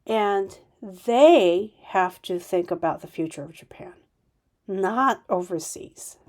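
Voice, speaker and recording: A woman's voice: a little high, darkish tone. A woman hosting a solo podcast, speaking off the cuff with many restarts and sudden emphatic bursts. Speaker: podcast host. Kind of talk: unscripted solo podcast monologue.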